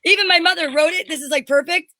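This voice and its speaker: A woman's voice: very high voice, fast, pushed and bright. A woman co-host chatting casually, joking and poking fun at herself. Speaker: co-host and performer. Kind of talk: casual chat.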